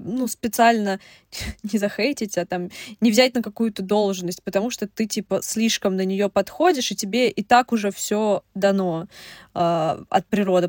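A woman from Russia co-hosting a podcast, talking casually in quick asides with lots of fillers. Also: mid-range voice, a little mellow, no room sound, clean studio sound. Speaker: podcast co-host.

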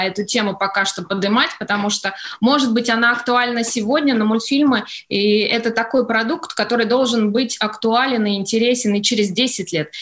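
A woman's voice: fairly high pitch, a bit bright. A woman answering as an interview guest, speaking diplomatically and choosing her words with some hesitation. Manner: measured, diplomatic, hesitant phrasing. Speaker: interview guest, animation studio executive.

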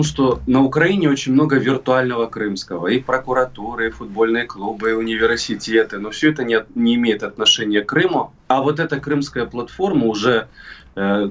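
A man from Crimea, middle-aged, giving political commentary as an interview guest in a deliberate, emphatic way with hesitant pauses. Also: mid-range voice, fairly bright tone. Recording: muffled remote call, distant sound.

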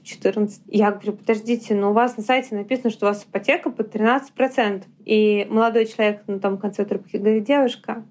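Podcast guest: woman from Russia, higher voice, candid storyteller who acts out the dialogue. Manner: candid, lively retelling with quoted dialogue. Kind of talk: casual storytelling.

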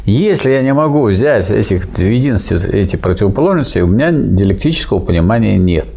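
An older man discussing philosophy in a relaxed academic conversation, teaching with questions and frequent fillers. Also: on the low side, fairly quick, vocal fry, slight background noise. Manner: didactic, questioning, with many fillers and restarts.

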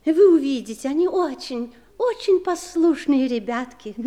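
A woman's voice: high-pitched, lively intonation, slow pace, mellow. An actress playing a fussy, anxious-to-please mother in a 1960s Soviet radio play, gushing, hesitant and repeating herself. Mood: chatty, eager.

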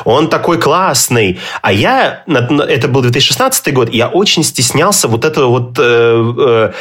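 A man who teaches Swedish, talking through his experiences as a podcast guest, reflective, pausing and restarting with many fillers. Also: mid-range voice, very animated, fairly bright tone, husky. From Russia. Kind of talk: casual interview chat.

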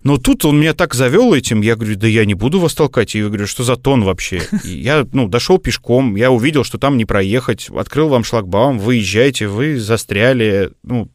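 A man's voice: mid-range voice, expressive, brisk, hardly any pauses, dry recording, studio quality. A man co-hosting a podcast, telling things casually in a hesitant, digressive way full of fillers. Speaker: podcast co-host. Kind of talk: casual conversational storytelling.

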